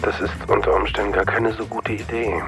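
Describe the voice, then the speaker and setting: A man plays a shady, careful crony in a radio crime drama. His voice is low, his speech casual and evasive. Soft music sits behind him. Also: flat delivery, fairly quick, close to an everyday voice. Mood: guarded, wry. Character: shady, guarded male crony advising caution.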